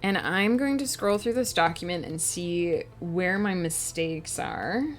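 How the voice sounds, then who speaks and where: Woman, lowish voice, stuffy and sniffly, reading her own draft on a home stream; restarts stumbled lines, close desk mic. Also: measured pace, faint music behind. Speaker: author and streamer. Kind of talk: reading her own novel draft aloud, with casual asides. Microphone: close desk microphone.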